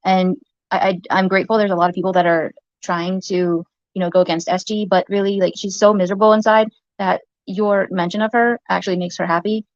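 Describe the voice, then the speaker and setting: Soft-voiced woman, fast rambling. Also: medium pitch, little pitch movement, no room sound, dull audio. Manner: hesitant, many fillers and restarts. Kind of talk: rambling livestream talk.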